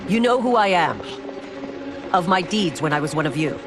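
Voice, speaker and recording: An actress playing a proud former royal guard turned constable chief in a game cutscene, speaking formally and earnestly with firm resolve. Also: female voice, on the low side, lively intonation, normal speed, background noise, natural voice. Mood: urgent, firm. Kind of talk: dramatic video game cutscene acting. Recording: orchestral game music behind.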